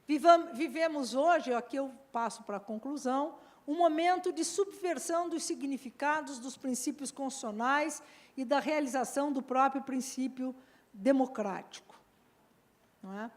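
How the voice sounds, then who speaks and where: A woman delivers an academic law talk in a high voice. She is earnest and lifts her voice on the points she wants to stress. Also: normal speed. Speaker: law professor and panel speaker. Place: seminar hall.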